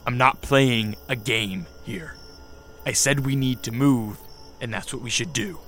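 Male actor as vampire count, medium pitch, wary leader, curt, stern, faint music behind, radio drama. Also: unhurried, fairly bright tone, close to an everyday voice. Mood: stern impatience.

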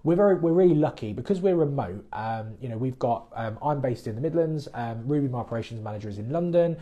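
A man talks through listener questions on his podcast in a relaxed, chatty manner, explaining things plainly. His voice is warm and mellow, pitched in an ordinary middle range. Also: fast, highs cut off. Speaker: mortgage broker and podcast host. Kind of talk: casual live Q&A podcast. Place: based in the Midlands.

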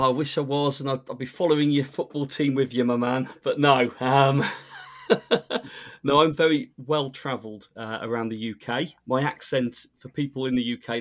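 Laughing friendly British guest. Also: male voice, medium pitch, fairly even intonation, moderate pace. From northern England. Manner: friendly, laughs often, calls others "my man". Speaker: podcast guest. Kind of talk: casual chat.

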